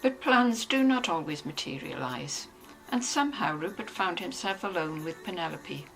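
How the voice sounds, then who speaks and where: A woman's voice: lowish voice, expressive, normal speed, gravelly, over music, poor audio. A woman reading scripted literary narration as the narrator of a dramatized novel.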